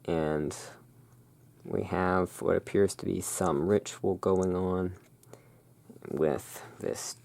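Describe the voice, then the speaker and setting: A person speaking in a halting, musing way, explaining things as the owner of a gothic curiosity shop in an ASMR roleplay. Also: deep voice, fairly even intonation, gravelly, darkish tone. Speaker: roleplaying host. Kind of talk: ASMR roleplay, showing tarot cards.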